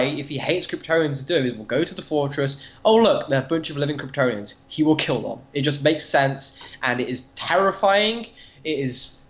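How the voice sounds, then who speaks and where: Guy on a comics podcast, bit high voice, earnest n informal, echoey kinda muffled audio. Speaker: podcast co-host. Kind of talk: casual comic book discussion. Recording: roomy, slightly dull audio.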